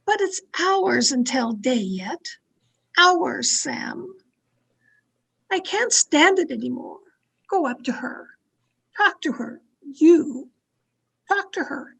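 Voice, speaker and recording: A woman acting a domineering, fearful, haunted wife in an old-time radio thriller, with an imperious, dramatic delivery full of halting dread. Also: sits high, lively intonation, gravelly, a bit bright, full character voice, poor audio. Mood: desperate, commanding.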